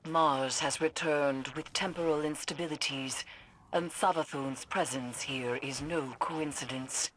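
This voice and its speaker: A woman playing a solemn, certain scholar-commander in a video game cutscene, speaking in grave, measured declarations. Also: low voice, fairly even intonation, fairly bright tone, a little hiss or hum. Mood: urgent, grave.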